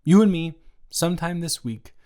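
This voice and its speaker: A young man playing an awkward, playful, smitten roommate in an improvised romantic audio roleplay, talking in a halting, rambling way with emphatic bursts. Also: fairly high pitch, expressive, warm tone, lightly acted. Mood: earnest, teasing. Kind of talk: improvised romantic audio roleplay, intimate one-sided conversation.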